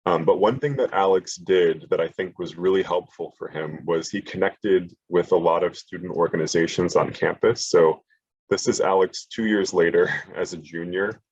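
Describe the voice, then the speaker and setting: A man's voice: deep voice, moderate pace, darkish tone, slightly rough, no echo, dull audio. A man giving a casual college prep workshop over a video call, with lots of fillers and restarts. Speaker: college admissions presenter. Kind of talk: online workshop presentation.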